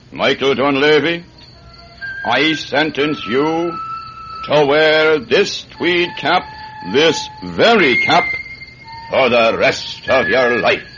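An actor playing a stern, exasperated country judge, formal and skeptical, in a radio drama. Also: male voice, mid-range voice, a bit bright. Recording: muffled, slightly noisy old-style radio recording.

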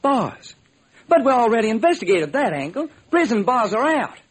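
A man's voice: very high voice, sing-song, normal speed, slightly rough, exaggerated voice, poor audio. A man playing an eager, plodding police lieutenant in an old-time radio crime drama. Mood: musing, suggesting.

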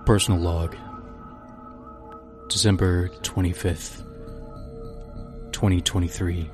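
Man acting an anguished astronaut, slow and low. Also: flat delivery, music in the background. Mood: stunned, grave. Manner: halting, repeats himself. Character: anguished male astronaut recording a log, grieving, horrified. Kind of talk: dramatic audio drama monologue.

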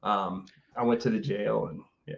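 A man's voice: on the low side, fairly even intonation, normal speed, creaky, muffled recording, slight background noise. A man of about forty, a guest artist chatting casually over a video call, rambling with lots of fillers and restarts. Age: 40s. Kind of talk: casual interview chat.

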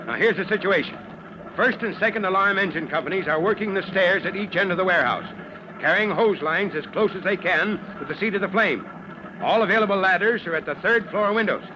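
An actor playing an authoritative fire chief, commanding and urgent, in an old-time radio drama. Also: male voice, high-pitched, a little fast, lightly acted. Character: authoritative fire chief rallying his men. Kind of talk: 1940s radio acting. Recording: noisy muffled old radio recording.

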